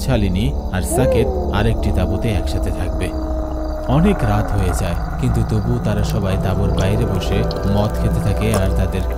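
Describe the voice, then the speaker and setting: A man with a fairly low voice narrates an animated horror tale. His telling is dramatic, and he lingers on tense moments. Loud music plays throughout. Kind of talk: scripted narration of a horror cartoon.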